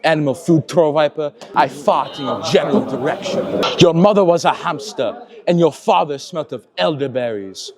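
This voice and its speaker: An actor playing an arrogant French castle guard with an exaggerated French accent, mocking and gleefully insulting. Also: male voice, a little high, moderate pace, a crowd in the background, lightly acted. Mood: taunting. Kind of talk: comic film scene.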